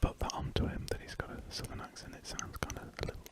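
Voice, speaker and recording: Whispering man drawing. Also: fast talker. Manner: soft rambling whisper, relaxed. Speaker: art channel host. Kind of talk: whispered ASMR drawing commentary.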